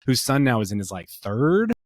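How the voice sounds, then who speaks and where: A man's voice: mid-range voice, sing-song, normal speed, dry recording, studio quality. A man in his late thirties co-hosting a casual podcast chat, rambling with many fillers and restarts. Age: 30s. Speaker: podcast co-host.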